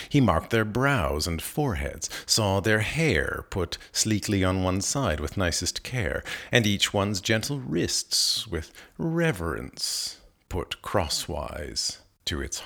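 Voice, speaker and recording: A man giving a measured, expressive, dramatic reading of old narrative verse. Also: low-pitched, vocal fry. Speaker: poetry reader.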